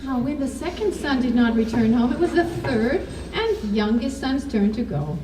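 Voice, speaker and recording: A woman telling a folktale to children at a live puppet show, with measured, dramatic phrasing. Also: high voice, big pitch swings. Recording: echoey, distant mic, music over voice. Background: ukulele or guitar music, clearly heard.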